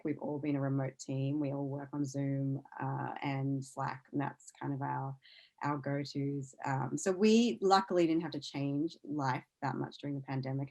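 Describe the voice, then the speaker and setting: A woman's voice: deep voice, darkish tone. An Australian woman giving casual, informal interview answers, chatty and often restarting her sentences with plenty of "like". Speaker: interview guest, science news CEO.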